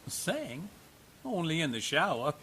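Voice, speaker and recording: A man narrating a radio drama in a wry, conversational tone, with a lively rise and fall in his voice. A faint hiss sits under the recording. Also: a little high, unhurried. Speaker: narrator and investigator.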